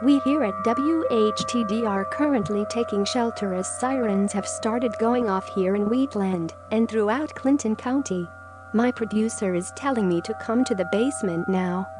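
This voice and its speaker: A woman's voice: fairly high pitch, normal speed, close to an everyday voice. Woman playing a local radio announcer, music behind. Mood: urgent warning. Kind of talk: scripted emergency radio announcement.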